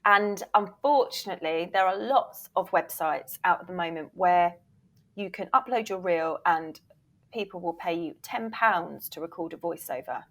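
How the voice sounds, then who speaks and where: A woman's voice: lowish voice, moderate pace. Emphatic, candid woman in conversation. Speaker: podcast guest, voiceover reel producer and former agent. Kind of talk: conversational interview answers.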